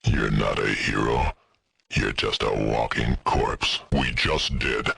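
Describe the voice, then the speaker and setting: Extremely deep, gravelly monstrous enforcer. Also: male voice, pushed and bright, slight background noise, full character voice. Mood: cold menace. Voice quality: gravelly, growling. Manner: slow, menacing, contemptuous. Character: huge menacing mutant government enforcer, brutal and contemptuous. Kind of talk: scripted video game voice acting.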